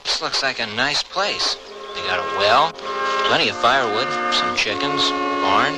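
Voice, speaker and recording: An actor playing a guarded young American drifter in an old-time Western radio drama. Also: male voice, medium pitch, lively intonation, moderate pace, loud music behind the voice, lightly acted, poor audio. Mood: musing.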